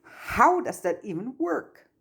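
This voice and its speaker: A woman's voice: on the high side, very animated, slow pace, vocal fry, a bit bright, breathy edge, voice pushed up, heavily acted. A woman voicing an awkward, easily flustered young male student in a story reading, hesitant and earnest. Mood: disbelieving, agitated.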